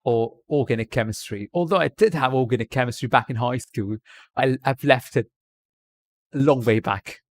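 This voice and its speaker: A man's voice: mid-range voice, normal speed. A man doing a put-on British accent, chatting on a live stream from India and giving rambling, jokey tips.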